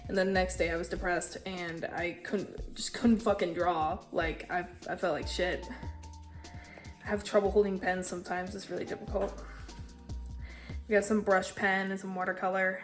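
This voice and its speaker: A young woman with a congested, stuffy voice, casually touring her sketchbook in a tired, low-key way and swearing now and then. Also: level tone, moderate pace, soft background music. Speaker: artist showing a sketchbook.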